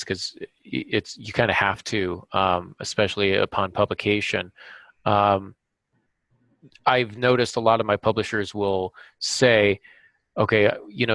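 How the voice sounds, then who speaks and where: A man hosting a podcast, chatting casually and thinking aloud in a halting way. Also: fairly low pitch, fairly bright tone, dry recording, rough recording. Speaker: podcast host.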